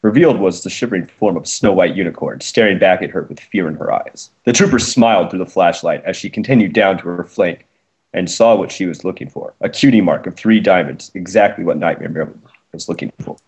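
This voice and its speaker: A man's voice: low voice, a little fast, darkish tone. A man giving an amateur dramatic narration of fan fiction, with casual asides. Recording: noisy amateur mic with clicks.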